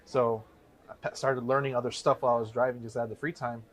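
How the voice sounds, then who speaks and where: Fast-talking man telling his story. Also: mid-range voice, flat delivery. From Chicago area, USA. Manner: rambling, self-correcting storyteller. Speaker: guest, real estate investor. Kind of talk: casual interview talk.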